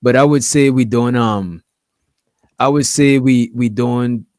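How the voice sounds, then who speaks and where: Brief: man, mid-pitch, easygoing panelist, heavy slang, dry close sound. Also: slow pace, darkish tone, clean tone, dry recording. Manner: slangy, laid-back, says "you know what I'm saying". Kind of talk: casual panel discussion.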